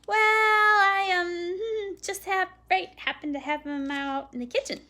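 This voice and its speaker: A woman's voice: very high voice, unhurried, bright, forceful tone, voice pushed up, exaggerated voice. A woman voicing an eager, earnest young boy in a storybook, with an emphatic, boyish manner. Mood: eager, hesitant.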